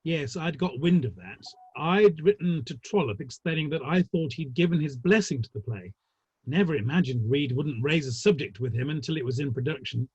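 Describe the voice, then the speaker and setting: Slightly dull, dry online sound carries a man acting a wry Victorian theatre manager. The husky voice sits fairly high and talks in an arch, ornate, gossipy way, as in a play reading. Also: lively intonation, moderate pace, darkish tone, no room sound. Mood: droll, gossipy. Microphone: online call.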